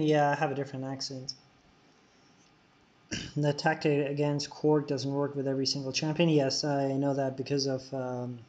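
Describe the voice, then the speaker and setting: A man's voice: mid-range voice, a little mellow. A man streaming a mobile game live, chatting casually with viewers and giving tips between fights. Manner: casual, answers chat while playing, frequent fillers. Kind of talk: live-stream commentary while playing. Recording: dull lo-fi recording.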